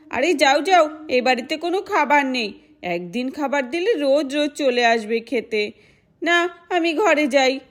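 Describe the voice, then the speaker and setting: A woman voicing a jealous, irritable rich doll woman who scolds and dismisses in a doll story. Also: high-pitched.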